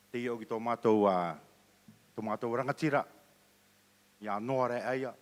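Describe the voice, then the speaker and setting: A man giving a halting, soft-spoken church testimony. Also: mid-range voice. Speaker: church speaker. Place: church hall. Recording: long reverb of a church hall.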